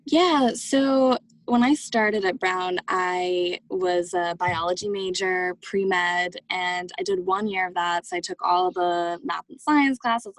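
A woman's voice: on the low side, no room sound, poor audio. A young adult woman answering interview questions in a casual, chatty way, with many "like" and "um" fillers. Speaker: guest.

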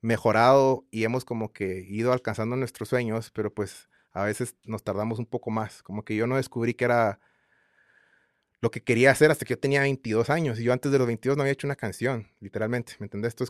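A man in his thirties from Guatemala with a Guatemalan Spanish accent, chatting as an interview guest in a relaxed, rambling way full of fillers. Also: mid-range voice, dry recording, clean studio sound. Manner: relaxed rambling with many fillers and repeated tags. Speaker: guest singer. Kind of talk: casual interview chat.

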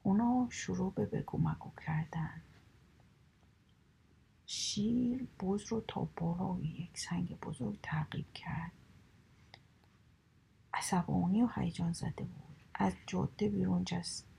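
A woman reading animal fables aloud in a soft, steady whisper, storytelling for relaxation. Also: on the low side, gravelly, long pauses, husky, poor audio.

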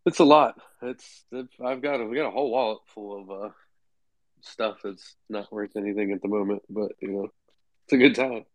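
A man's voice: on the low side, no echo, rough recording. Easygoing man, casual chat. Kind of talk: casual chat in an online audio space.

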